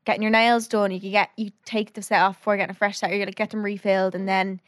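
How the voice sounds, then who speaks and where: A woman's voice: mid-range voice, level tone, fast, no echo. A young Irish woman co-hosting a casual podcast chat, giggly and prone to trailing off mid-sentence. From Ireland. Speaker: podcast co-host.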